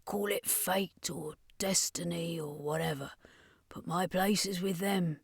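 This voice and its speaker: A woman voicing a world-weary but tender lady guardian in a narrated story, speaking with soft regret. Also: on the low side, unhurried, vocal fry, slightly rough, no room sound, clean studio sound, exaggerated voice. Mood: tender, sorrowful.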